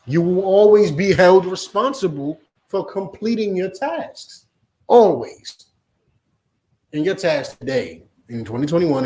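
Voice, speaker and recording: A man teaches a religious class in a forceful, insistent preaching style, checking again and again that his listeners follow. His voice sits fairly high for a man. The room around him rings with echo. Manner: forceful, repeats "you understand?". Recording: echoey room.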